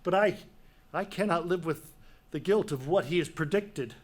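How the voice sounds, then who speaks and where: An actor playing a proud, stubborn king in a Greek tragedy reading, speaking emphatically with halting repeated words. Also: sits high. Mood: troubled. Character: proud, stubborn male king, defensive and scornful.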